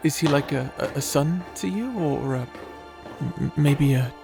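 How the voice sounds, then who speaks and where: A man's voice: on the high side, unhurried, vocal fry, over music. A man playing a shy, nerdy young wizard who rambles anxiously, stammering and apologizing in a fantasy audio roleplay. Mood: curious, nervous.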